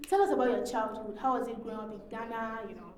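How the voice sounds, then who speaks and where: A young woman hosting a podcast interview, upbeat and gushing, with quick affirmations while her guest talks. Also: fairly high pitch, darkish tone, distant mic. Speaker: podcast host. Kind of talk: casual interview conversation. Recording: echoey room, voice a little off-mic.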